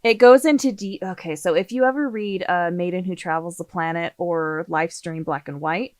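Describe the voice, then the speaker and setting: A woman's voice: on the low side, moderate pace, no echo. A woman chatting casually as a podcast panelist, an enthusiastic and knowledgeable fan.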